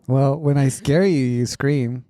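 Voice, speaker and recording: A middle-aged man co-hosting a casual podcast chat, teasing and joking in a deadpan way. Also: medium pitch, a little slow. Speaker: podcast co-host.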